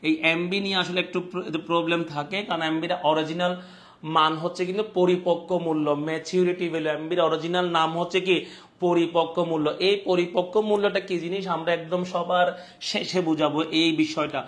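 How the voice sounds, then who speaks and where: A man's voice: a little high, little pitch movement, brisk, a bit bright. A man with a Bangladeshi accent teaching a live online class, repeating his points and often checking that students follow.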